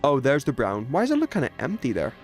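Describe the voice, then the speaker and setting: A young man with a middling-low voice streams a video game with music behind him. He rambles in an informal, conversational way, half to his viewers and half to himself. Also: huge pitch swings, quick pace. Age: 20s. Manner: rambling, informal, self-talking while playing. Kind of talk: casual gaming livestream chat and commentary.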